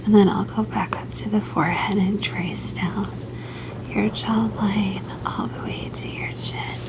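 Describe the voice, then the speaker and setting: A woman performs an ASMR doctor roleplay in a soft, breathy whisper. She takes her time, speaking slowly and gently. Her manner is soothing and reassuring, like a clinician guiding a patient. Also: mid-range voice, steady tone, rough recording.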